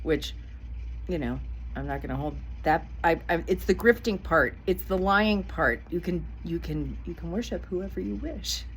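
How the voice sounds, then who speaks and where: A middle-aged woman talks straight to her viewers in a casual commentary vlog. She is blunt and indignant and swears without holding back. Her voice sits a little low, with a faint hiss of noise behind it. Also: moderate pace, low-quality recording. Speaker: vlogger.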